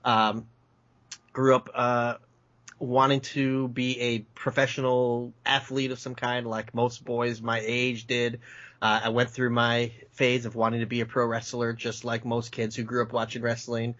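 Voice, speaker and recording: A man's voice: mid-range voice, completely flat delivery, measured pace, a bit bright. Nasally self-deprecating man on lo-fi audio. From Michigan, Metro Detroit suburbs. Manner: self-deprecating, chuckles often, many fillers. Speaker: podcast guest. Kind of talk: relaxed conversational interview. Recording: dull lo-fi audio.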